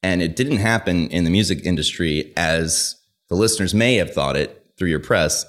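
A man's voice: low voice, normal speed. A man hosting a podcast, interviewing guests in a casual, bantering style with slangy phrasing.

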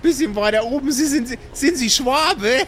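Male player as nosy little old lady, very high voice, folksy rambling, role-play audio drama, slight background noise. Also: moderate pace, a bit bright. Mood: chatty, nosy. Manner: folksy, chatty, repeats phrases. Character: very small chatty nosy old woman in glasses.

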